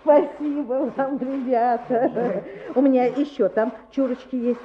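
Casting: elderly villager for radio drama, devout, kindly, folksy, fairly high voice, dull vintage sound. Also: female voice, steady tone, a little slow, slight background noise. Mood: confiding. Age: older. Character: pious kindly old village woman, gently sceptical. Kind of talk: Soviet radio play acting. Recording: muffled old radio play recording.

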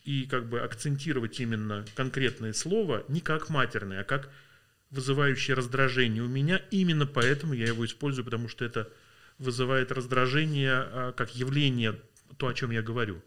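A man's voice: medium pitch, brisk, a bit bright. A man from Russia, a linguist guest on a podcast, talking thoughtfully with many hesitations and sounding tired. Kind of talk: podcast interview.

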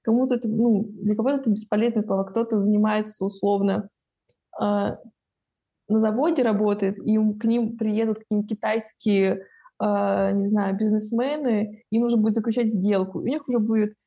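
Young woman in her 20s, warm mellow voice, talking things through slowly and carefully. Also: medium pitch, fairly even intonation. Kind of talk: casual podcast chat.

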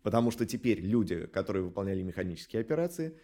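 A man speaking as a studio director in an interview, explaining things in a measured, articulate way with rhetorical emphasis. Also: lowish voice, very animated, warm tone.

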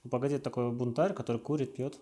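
A man speaking as an interviewer in a casual, conversational way, thinking aloud haltingly. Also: medium pitch, steady tone, crowd noise.

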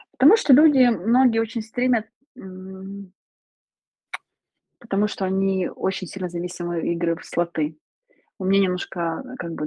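A woman speaking as a streamer guest in a relaxed interview, chatty, laughing often and filling pauses with hesitations. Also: on the low side, breathy edge, no echo, low-quality recording. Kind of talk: casual interview chat.

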